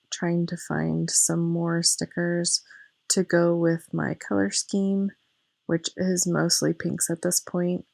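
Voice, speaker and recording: A woman in her thirties, hoarse from losing her voice, chatting through a craft video in a casual voice-over and explaining each step. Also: on the low side, a little mellow, no echo, poor audio. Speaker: planner vlogger.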